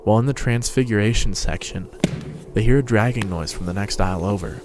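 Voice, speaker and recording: A man's voice: lowish voice, brisk, background noise. A man giving a scripted, dramatic narration of a story. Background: music and sound effects.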